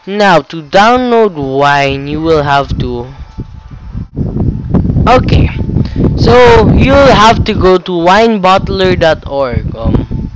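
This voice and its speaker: Slow-talking teen boy with a cold. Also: sits high, sing-song, noisy background. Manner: rambling, self-correcting, swears casually. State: has a cold. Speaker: tutorial maker. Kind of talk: casual screen-recorded tutorial. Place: indoor room at a computer.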